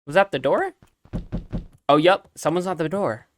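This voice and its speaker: A man's voice: on the high side, moderate pace, a little hiss or hum. A man doing casual, chatty game commentary, reacting aloud as he plays. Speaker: let's play streamer.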